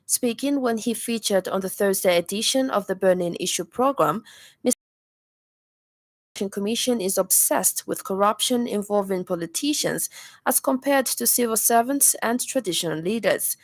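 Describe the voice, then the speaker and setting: A woman with a Zambian accent reading a scripted radio news bulletin in a formal, measured way. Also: mid-range voice, dry recording. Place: radio studio.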